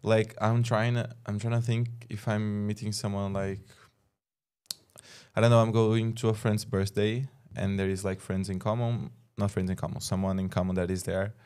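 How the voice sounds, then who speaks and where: A young man in his twenties speaks with a Brazilian accent and a lowish voice. He chats casually as a podcast guest, hesitating and thinking aloud with plenty of fillers. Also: level tone, normal speed, darkish tone, clear, resonant tone. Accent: Brazilian Portuguese. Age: 20s. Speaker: student guest. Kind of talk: casual chat.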